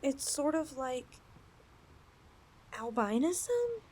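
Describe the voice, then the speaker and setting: Woman voicing a high, lilting, possessive lamia girl. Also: sing-song, extremely slow, a little mellow, slight background noise, exaggerated voice. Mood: explaining, wistful. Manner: coaxing, playful, dramatic swings in delivery. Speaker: audio roleplay performer. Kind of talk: ASMR roleplay, acted monologue addressed to listener.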